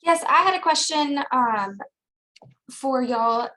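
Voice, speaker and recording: A woman's voice: high voice, animated, slow, bright, forceful tone, rough recording. A woman working for an equipment program, speaking in conversational question and answer. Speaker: state equipment program staffer.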